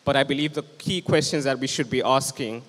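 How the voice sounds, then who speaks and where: A young man delivers an earnest, urgent sermon into a handheld microphone. His mid-pitched voice rings out with a long hall reverb on the church stage. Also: a little fast, fairly bright tone. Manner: earnest, urgent preaching, standing with a handheld mic. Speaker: preacher. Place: church stage with band instruments, indoors.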